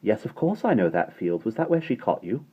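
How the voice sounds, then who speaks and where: A man voicing a stern, prim nanny woman with sharp, sniffing indignation, on tinny phone-like audio. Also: on the low side, very animated, brisk, breathy edge, voice pushed up. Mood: matter-of-fact.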